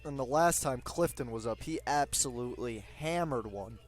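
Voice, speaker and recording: A man's voice: mid-range voice, animated, moderate pace. A man giving conversational live analysis as color commentator on a baseball radio broadcast.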